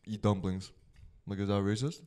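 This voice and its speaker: A young man co-hosting a casual podcast, relaxed, mostly giving short agreeing replies. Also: fairly low pitch, moderate pace. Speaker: podcast co-host.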